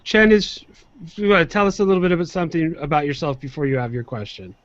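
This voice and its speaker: A man's voice: fairly high pitch, lively intonation, quick pace, fairly bright tone, no room sound. A man hosting a student Q&A, loose and encouraging, calling on people to ask questions. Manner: loose, encouraging, lots of fillers. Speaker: show host. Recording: dry, dull lo-fi sound.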